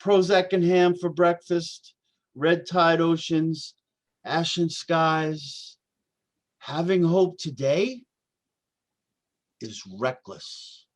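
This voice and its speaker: Male actor as paranoid homeless young prophet: high-pitched, slow, halting delivery, dramatic stage-play intensity. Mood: fervent reciting. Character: troubled homeless young man, paranoid doomsday poet-prophet. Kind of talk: staged play reading, reciting verse.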